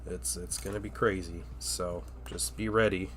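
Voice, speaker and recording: A man's voice: sits low, fairly even intonation, moderate pace, fairly bright tone, slight background noise. A man with a stuffy cold and a nasal voice hosts a casual livestream while painting miniatures. He rambles, hesitates, and drops into murmurs as he works.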